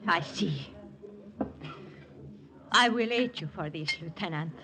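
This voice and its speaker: An actress playing a proud, anguished young French aristocrat with a French accent in a 1940s radio drama, imperious and emotional. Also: female voice, lowish voice, expressive, takes it slowly. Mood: stunned, icy. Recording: noisy old radio recording.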